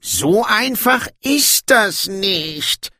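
A man voicing a proud, sly, sardonic dwarf king in an audiobook, with a slow, knowing delivery. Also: on the high side, sing-song, sharp tone, no room sound, clean studio sound, voice pushed up, exaggerated voice. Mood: grumbling.

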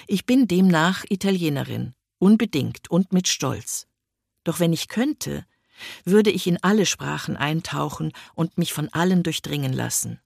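A woman reading a first-person essay aloud in a measured, reflective way, close to a studio mic. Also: low voice, gravelly, darkish tone, slightly rough, no echo. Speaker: reader of an essay.

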